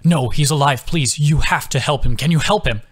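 A man's voice: fairly high pitch, normal speed, natural voice. Man voicing a desperate, pleading older man. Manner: pleading, anxious. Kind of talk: audiobook character voice.

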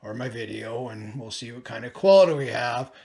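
A man in his fifties with a rough voice, casually reviewing a camcorder at home and thinking aloud as he handles it. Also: mid-range voice, a little fast, a crowd in the background. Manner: rambling, thinking aloud while handling gear. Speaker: product reviewer. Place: small indoor room at home. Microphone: camcorder's own mounted mic, steps back from it. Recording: voice from a distance at times.

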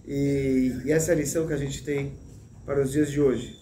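A man in his thirties teaching a Torah lesson in an animated way, gesturing and restarting often, recorded indoors on a desk mic. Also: mid-range voice, normal speed, reverberant, a little hiss or hum. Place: small room with a plain wall, indoors. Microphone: desk mic in front, medium framing.

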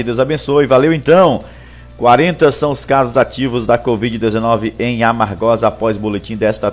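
A man from Brazil, presenting a local news bulletin live on a headset microphone. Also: medium pitch. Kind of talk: reading local news, greeting viewers live.

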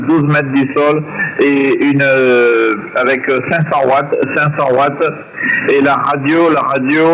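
Over a shortwave radio, a man gives a contact report, repeating each detail. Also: on the high side. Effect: shortwave radio transmission. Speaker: amateur radio operator. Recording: noisy shortwave radio reception over speaker.

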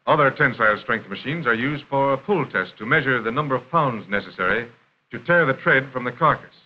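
An American man reading scripted narration for a 1930s industrial film in a formal, measured announcer style. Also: mid-range voice. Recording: dull, noisy 1930s film soundtrack.

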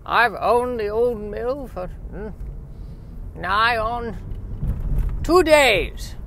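A man voicing an odd, folksy mill owner with a slow, hesitant delivery in a radio play. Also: high-pitched, expressive, bright, forceful tone, slight background noise, voice pushed up, exaggerated voice. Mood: odd, earnest.

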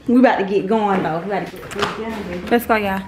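A woman in her thirties hosting a casual vlog, a little hoarse from a cold, upbeat and playful as she talks to her kids. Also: medium pitch, a little mellow, a little hiss or hum. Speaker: vlog host. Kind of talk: casual chat to camera.

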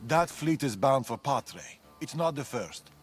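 A hunted man of ancient Greece, played by an actor, talks in a grave, guarded way, as one who trusts no place. His mid-range voice is deliberate and world-weary. It is video game dialogue with music playing behind it. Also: mid-range voice, expressive, natural voice. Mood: grave, wary. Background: background music.

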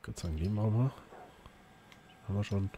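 Hoarse man with a dark voice. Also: on the low side, fairly quick, muffled recording, a little hiss or hum. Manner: chatty, rambling, frequent fillers and restarts. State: slightly hoarse from illness. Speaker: let's play host. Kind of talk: casual gameplay commentary.

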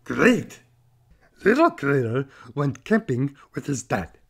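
A man voicing a friendly, excitable furry monster who hosts a children's story time in a raspy, enthusiastic way. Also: mid-range voice, takes it slowly, full character voice. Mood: cheerful, encouraging. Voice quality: raspy, gravelly. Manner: enthusiastic, addressing children directly. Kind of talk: scripted children's show hosting.

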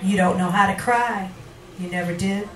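A middle-aged woman performs poetry in a bright, forceful voice, unhurried and dramatic, slipping at times into sing-song. She is on a handheld mic in a small venue, and the recording is roomy, with some background noise. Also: mid-range voice, distant mic. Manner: dramatic, deliberate phrasing with pauses, occasionally sing-song. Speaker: featured poet at a reading. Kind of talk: live poetry recitation. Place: small wood-paneled bar venue, indoors. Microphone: handheld microphone, filmed from across the room.

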